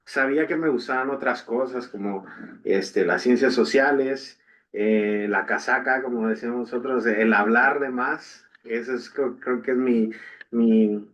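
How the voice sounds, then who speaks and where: A man's voice: mid-range voice, measured pace, a bit bright. A young man from Guatemala with a Guatemalan Spanish accent, co-hosting a podcast and telling his story in a casual, rambling way full of fillers and restarts. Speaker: podcast co-host. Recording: dull lo-fi podcast audio.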